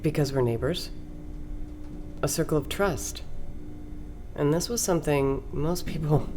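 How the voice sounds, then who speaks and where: An actress playing a charismatic, commanding woman who leads a cultish circle, speaking in a measured, solemn, poetic way in an audio drama. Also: a bass voice, gravelly, darkish tone, slight background noise, exaggerated voice. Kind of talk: scripted podcast drama acting.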